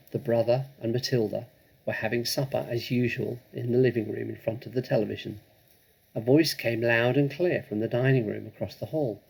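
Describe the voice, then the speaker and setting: Husky, creaky male storyteller. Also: mid-range voice, low-quality recording. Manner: expressive, dramatic. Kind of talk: scripted storybook narration.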